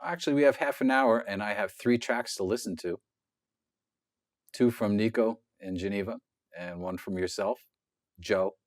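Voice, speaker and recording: A man leading a session, talking casually and directly, asking brisk questions. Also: on the low side, no room sound, clean studio sound. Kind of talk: casual conversation.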